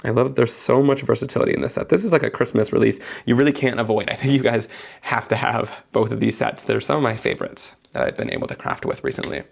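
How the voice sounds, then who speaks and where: A man's voice: mid-range voice, animated, quick pace, vocal fry, husky. A young man, sniffly after being sick, chatting enthusiastically as he narrates each step of a live card-making tutorial.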